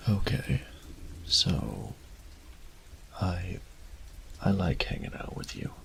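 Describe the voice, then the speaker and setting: Man playing a very deep, gravelly tender roommate. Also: very animated, slow, slight background noise, exaggerated voice, low-quality recording. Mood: nervous, earnest. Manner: soft breathy murmurs, teasing pet names. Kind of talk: intimate romantic audio roleplay. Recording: rain and thunder ambience behind voice. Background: rain and thunder.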